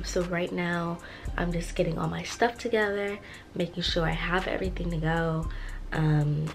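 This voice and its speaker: A woman vlogging casually on a phone held at arm's length in her bedroom, chatty and upbeat, her voice a little rough from just waking up. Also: on the low side. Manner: chatty, upbeat, lots of filler ums. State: slightly rough, just woken up. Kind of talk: casual vlog chat. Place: bedroom at home. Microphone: phone camera at arm's length.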